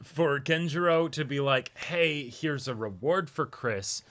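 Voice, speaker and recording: A man co-hosting a casual anime reaction podcast, often chiming in with quick agreement. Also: a little high, fairly bright tone. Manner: frequent agreeing interjections. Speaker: podcast co-host.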